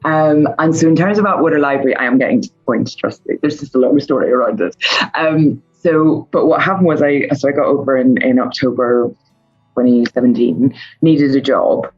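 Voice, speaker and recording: A woman, a poet answering interview questions in a meandering way, with many pauses and self-corrections. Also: very deep voice, a little mellow, husky. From Northern Ireland. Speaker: poet and poetry curator interviewee. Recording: muffled sound with faint music behind.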